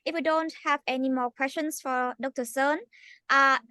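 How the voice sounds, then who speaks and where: A woman's voice: sits high, fairly even intonation, normal speed, bright, forceful tone, no room sound, studio quality. A woman hosting an online session, thanking the speaker and guiding the attendees in a polite, formal way. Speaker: session host.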